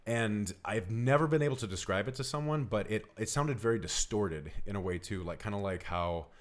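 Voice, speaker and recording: A man's voice: on the low side, a little fast. A man telling a personal story casually on a podcast, earnest and emphatic in the way he describes things. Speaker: podcast co-host.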